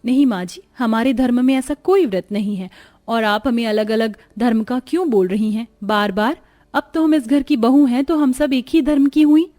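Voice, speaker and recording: Lady playing young newlywed daughter in law in a cartoon, high voice, eager n respectful. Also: moderate pace, mellow, close to an everyday voice. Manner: respectful, earnest. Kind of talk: cartoon story dialogue.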